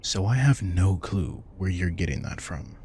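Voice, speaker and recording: A man playing a protective, wry and tender vampire king in an intimate audio roleplay, soft-spoken and casual. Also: low voice, expressive, measured pace, a little hiss or hum. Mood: reassuring, tender. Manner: soft-spoken, casual, reassuring, occasionally swearing. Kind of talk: intimate audio roleplay, one-sided dialogue.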